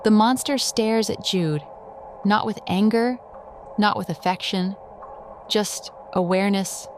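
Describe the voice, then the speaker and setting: A woman narrating a scripted drama in a measured, solemn manner. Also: mid-range voice, a little hiss or hum.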